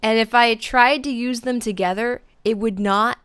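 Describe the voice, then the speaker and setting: Young woman streamer just chatting, bright voice, lots of "like" and restarts. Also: fairly high pitch, steady tone, normal speed, smooth. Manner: rambling, many restarts and fillers; chats with viewers by name. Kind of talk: casual live-stream chat.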